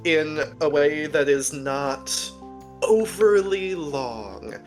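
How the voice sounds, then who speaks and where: Dry wordy talker in a casual chat, middle pitch, deliberate, soft guitar behind. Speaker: cast member and writer.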